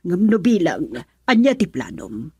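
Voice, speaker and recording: A woman's voice: fairly low pitch, big pitch swings, unhurried, dark tone, slightly rough, dry recording, dull audio, heavily acted. A woman playing a defensive, quarrelsome mother in an Ilocano radio drama, exclamatory and argumentative as she stands up for her son. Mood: reasoning.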